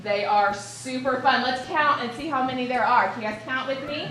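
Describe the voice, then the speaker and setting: A woman running a library puppet show for children, animated and talking straight to the kids as she counts aloud. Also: sits high, a little fast, very noisy. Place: large library room with children. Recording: echoey room from some distance, kids around.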